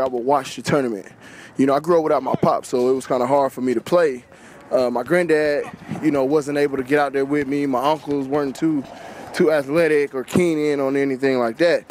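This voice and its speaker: Male podcast guest, higher-pitched, earnest informal storyteller, faint noise behind. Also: darkish tone. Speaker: podcast guest, business owner.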